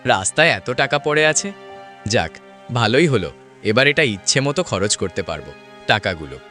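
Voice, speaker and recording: A man's voice: mid-range voice, big pitch swings, moderate pace, bright, forceful tone, soft background music. A man playing a greedy, gleeful opportunist in a cartoon. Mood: gleeful greed. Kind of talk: cartoon monologue.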